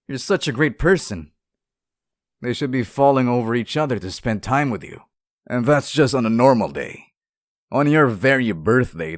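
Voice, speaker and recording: A man voicing a lonely, sweet and possessively devoted sealed demon in an intimate ASMR roleplay, speaking softly, with tender, hesitant pleading. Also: medium pitch, no echo, studio quality, close to an everyday voice. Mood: indignant, protective. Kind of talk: ASMR roleplay, intimate scripted monologue to the listener.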